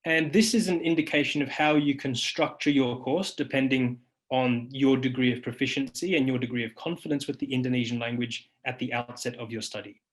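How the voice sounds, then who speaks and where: A man in his thirties, a university lecturer presenting a webinar over slides, earnest and halting with frequent ums and restarts, on a webcam. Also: mid-range voice, steady tone. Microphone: webcam video call.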